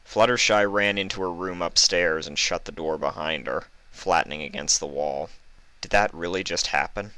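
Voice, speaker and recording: A man narrates a written story in a low-pitched, bright voice. The recording is close and dry. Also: normal speed, no echo. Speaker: fan fiction reader.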